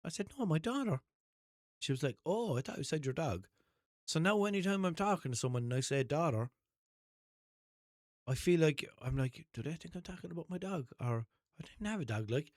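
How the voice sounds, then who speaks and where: A man's voice: fairly high pitch, animated, brisk, long pauses, no echo, studio quality. A young man with a mild Limerick Irish accent hosting a podcast, rambling through an unscripted monologue, second-guessing himself and swearing casually. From Ireland. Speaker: podcast host. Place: small room at home, seated in a desk chair.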